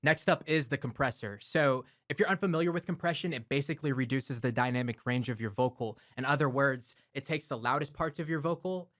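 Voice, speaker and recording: A man's voice: medium pitch, brisk, few pauses, no room sound. Man explaining audio gear, dry close mic. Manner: relaxed, step-by-step explaining with filler words. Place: home studio. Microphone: close broadcast dynamic mic.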